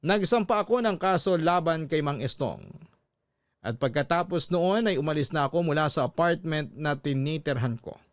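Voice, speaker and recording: A man's voice: fairly high pitch, animated, normal speed, dry recording, studio quality. A man reading a scripted first-person story as the narrator of a radio drama.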